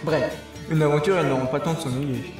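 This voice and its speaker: A man playing a deadpan, mischievous, mocking narrator in a scripted comedy audio drama, with a very echoey sound. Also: fairly high pitch, fast, lightly acted. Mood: mock-grand, deadpan. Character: sarcastic, mischievous male narrator who needles characters. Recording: very echoey, faint music behind.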